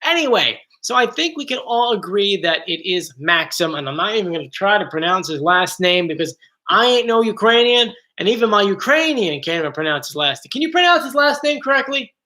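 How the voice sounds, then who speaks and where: A man's voice: high voice, expressive, fairly quick, pushed and bright. A man hosting a casual live stream from home, chatty and joking, easily drifting off topic. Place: home, bedroom area. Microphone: computer mic.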